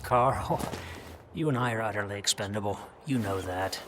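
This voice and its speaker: An actor playing a glib, folksy American wartime intelligence officer in a video game, teasing and jocular. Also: male voice, fairly low pitch, normal speed, close to an everyday voice. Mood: frank, sly. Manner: jocular, teasing, drawling exclamations. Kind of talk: video game cutscene acting. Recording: clearly noisy background.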